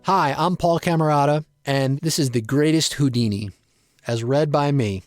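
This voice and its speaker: A man's voice: on the high side, moderate pace, no echo, faint music behind. A man reciting his own rhymed poem as a theatrical piece of storytelling. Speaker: poet reading own poem.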